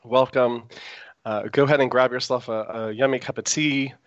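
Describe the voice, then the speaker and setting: A man's voice: mid-range voice, steady tone, moderate pace, no echo, highs cut off. A man hosting a live internet radio talk show, chatty and meandering with frequent fillers, speaking through a sore throat.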